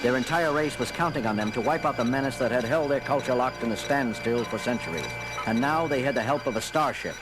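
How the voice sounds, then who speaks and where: A man's voice: mid-range voice, a little fast, close to an everyday voice. An actor playing a commanding starship captain, narrating his adventure in dramatic scripted style. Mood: resolute. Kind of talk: dramatic scripted first-person narration and dialogue. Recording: loud orchestral music behind voice.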